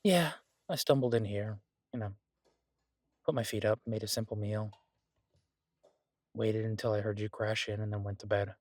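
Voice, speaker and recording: A devoted husband and cook , acted by a man, speaks in a lowish, slightly husky voice, close and dry on the mic. He is easygoing and gently teasing. His delivery is casual, halting and reassuring, as in a one-sided audio roleplay for a loved one. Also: steady tone, no room sound, lightly acted. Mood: caring.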